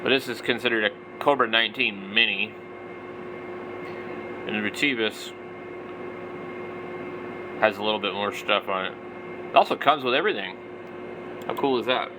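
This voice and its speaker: A man's voice: lowish voice, normal speed, pauses often, noisy background. A man in his forties giving casual, off-the-cuff product commentary, rambling and thinking aloud. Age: 40s. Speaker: vlogger, radio hobbyist.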